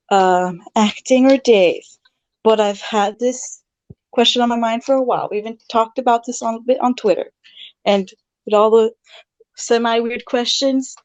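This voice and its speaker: Woman, slightly high pitch, hesitant, many ums, dry close audio. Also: darkish tone, dry recording. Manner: hesitant, with nervous laughs and many "um"s. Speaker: fan asking a question. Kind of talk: casual chat.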